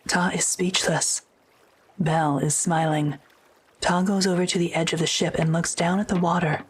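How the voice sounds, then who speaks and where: A woman narrating a scripted audio drama in a measured, descriptive way. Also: on the low side, steady tone, breathy edge.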